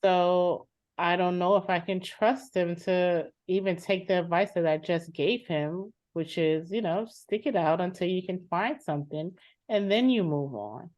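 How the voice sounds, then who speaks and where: A woman's voice: on the low side, a little slow, darkish tone, natural voice. A woman playing a fed-up adult sister in a dramatized therapy session, speaking in an exasperated, insistent, blunt way. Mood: weary, distrustful. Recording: dull lo-fi sound.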